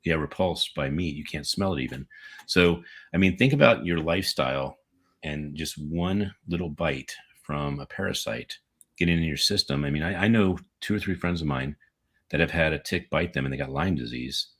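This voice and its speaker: Very deep husky American man. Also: moderate pace, fairly bright tone. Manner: rambling, jokey, self-correcting. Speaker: podcast co-host. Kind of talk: casual chat.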